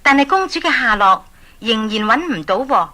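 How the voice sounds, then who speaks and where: A woman's voice: fairly high pitch, big pitch swings, normal speed, bright, forceful tone. A woman with a Hong Kong accent narrating a scripted story for children, addressing them directly. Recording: 1980s story cassette.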